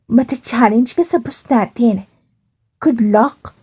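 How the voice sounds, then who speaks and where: Casting: woman narrator as teasing young Filipino office gal, chatty and slangy audio-drama best friend, raised pitch. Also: takes it slowly, mellow, slightly rough, full character voice.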